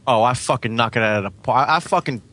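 A man chatting casually in a halting, insistent way, repeating himself and sometimes swearing. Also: medium pitch, fairly bright tone, a little hiss or hum. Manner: halting, repetitive, insistent, profane. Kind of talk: casual chat.

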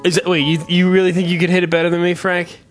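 High pitched guy bantering, teasing and talking down as a bit, swears a lot. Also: monotone, fast talker, soft background music. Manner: teasing, mock-condescending, profane. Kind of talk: casual comedic banter.

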